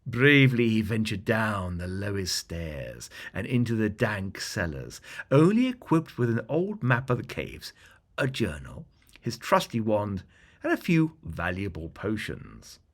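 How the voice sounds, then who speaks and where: A man narrating a scripted fantasy bedtime story as a storyteller, with dramatic, deliberate phrasing. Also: on the low side, very animated.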